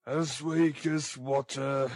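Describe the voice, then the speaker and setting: A man's voice: medium pitch, steady tone, slow pace, no room sound. A man voicing a brutal, ill-tempered housebreaker in a story, growling, barking and impatient. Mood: weak, irritable.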